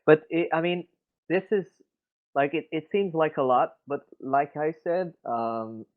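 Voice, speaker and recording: A man's voice: fairly high pitch, measured pace. French-Canadian man on a tinny voice-chat line. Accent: Québécois French. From Quebec City, Canada. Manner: hesitant, many fillers and restarts, thoughtful. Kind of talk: casual discussion.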